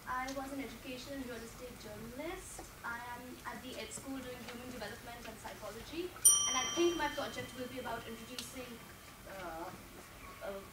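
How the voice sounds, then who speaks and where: A woman's voice: a little high, fairly quick. A woman from northern India gives an orderly self-introduction to a class. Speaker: student. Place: large classroom. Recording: roomy, distant, loud hiss.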